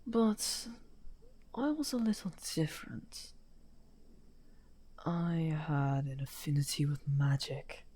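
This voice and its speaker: A man playing a misunderstood outcast necromancer wizard, smugly amused and taunting, in an unhurried, breathy, often half-whispered ASMR roleplay. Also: a little high, expressive, mellow. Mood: bitter, confiding. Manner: unhurried, taunting, drawn-out phrasing. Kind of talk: slow theatrical ASMR roleplay.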